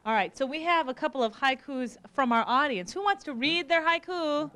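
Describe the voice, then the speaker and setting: A woman hosting a youth show, interviewing casually in a teasing, animated way. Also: high voice, moderate pace. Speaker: host of a youth educational show. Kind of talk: casual interviewing with a young audience.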